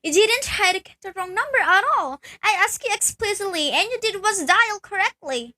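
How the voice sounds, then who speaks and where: A young woman acts out an anxious, indignant wife in an amateur radio play. Her voice is extremely high and sharp. She is insistent, easily exasperated and quick to get flustered. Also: animated, exaggerated voice. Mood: indignant, demanding.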